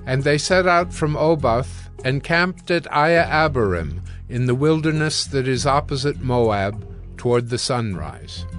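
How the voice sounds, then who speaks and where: A man narrating scripture from a script in a measured, solemn storytelling manner. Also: fairly high pitch. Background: soft music.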